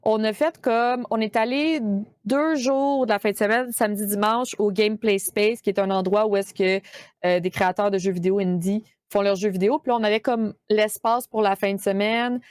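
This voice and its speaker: A woman's voice: medium pitch, steady tone, normal speed, darkish tone, clean tone, dry recording. A woman with a Québécois French accent, chatting casually as a guest streamer, with many fillers and restarts. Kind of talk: casual podcast chat.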